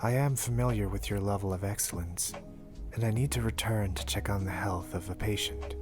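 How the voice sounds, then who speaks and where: Man acting a low-voiced, formal ghoul doctor over music. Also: close to an everyday voice. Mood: composed, formal. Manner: formal, measured, dry. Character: composed, formal male ghoul doctor, curt but loyal. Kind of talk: anime fan audio roleplay. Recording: prominent music bed under voice.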